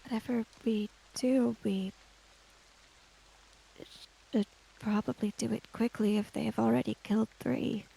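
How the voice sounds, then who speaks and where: A woman playing an earnest, worried female samurai in a tabletop game, speaking in halting, formal phrases. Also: a little high, fairly even intonation, creaky, warm tone, husky, heavily acted, poor audio. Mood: anxious, cautious.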